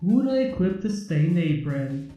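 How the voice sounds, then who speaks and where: A woman's voice: lowish voice, big pitch swings, unhurried, dark tone, highs cut off, soft background music. A woman narrating fan fiction as a channel host, reading scripted prose expressively.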